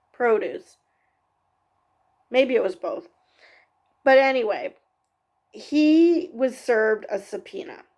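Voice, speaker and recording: A woman talks through a TV episode in a slow, unhurried recap, often pausing on ums and fixing her own sentences. Her voice sits a little high and has a gravelly, fry-edged texture. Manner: halting, many ums and self-corrections. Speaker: TV review vlogger. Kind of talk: casual unscripted episode recap.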